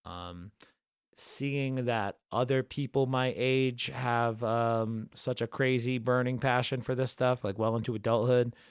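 A man hosting a podcast, talking casually in a relaxed, rambling way with lots of fillers and restarts. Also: medium pitch, measured pace, smooth, dry recording, studio quality. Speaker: podcast host. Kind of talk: casual chat.

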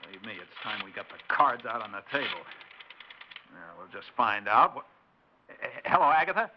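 An actor playing a suspicious, exasperated suburban husband in a 1960s radio drama, with an indignant, sardonic way of talking. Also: male voice, lowish voice, sing-song, brisk, a little hiss or hum. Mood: agitated, controlled. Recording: dull 1960s radio recording.

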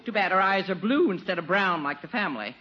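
Prim, swooping, bossy aunt. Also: female voice, lowish voice, expressive, fast, bright, forceful tone. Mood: prim, gracious. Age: middle-aged. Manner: polite, precise, disapproving. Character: prim, critical, bossy middle-aged visiting aunt. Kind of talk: 1940s radio situation comedy acting. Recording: muffled, noisy 1940s radio recording.

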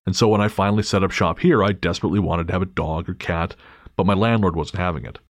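A man with a low voice talks in a wry, joking way, trailing off and restarting his sentences as he thinks. The sound is dry and close, with no room around it. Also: fairly even intonation, fast talker, no echo. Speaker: podcast host. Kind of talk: casual paranormal chat and reading a listener story.

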